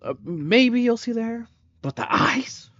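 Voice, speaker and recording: A man's voice: extremely high pitch, animated, unhurried, fairly bright tone, dry recording. A man giving casual, slangy reaction commentary, incredulous and prone to swearing. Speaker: reaction video host. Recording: dry, slightly dull microphone sound.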